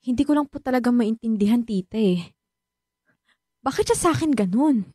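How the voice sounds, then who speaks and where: An actress playing a hurt, polite young Filipino daughter who pleads, in a radio drama. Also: female voice, a little high, animated, normal speed, mellow, husky, no echo, studio quality. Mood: troubled, meek.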